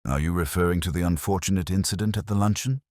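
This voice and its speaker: Man voicing a prim, cool secretary, low-pitched. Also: fast talker, darkish tone, no echo, studio quality, lightly acted. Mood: guarded. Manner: clipped, guarded, cool, formal. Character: brisk, prim, composed young female secretary.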